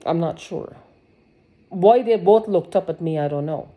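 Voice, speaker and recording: A woman's voice: lowish voice, unhurried, dark tone. Agitated woman ranting to camera. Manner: agitated, sarcastic, swears, many restarts. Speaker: vlogger. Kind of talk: rambling personal monologue.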